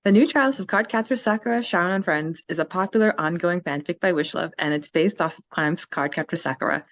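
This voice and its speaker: A woman's voice: on the low side, fairly quick, dry recording, highs cut off. A woman co-hosting a casual fan podcast, upbeat and giggly as she reads listener questions. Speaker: podcast co-host.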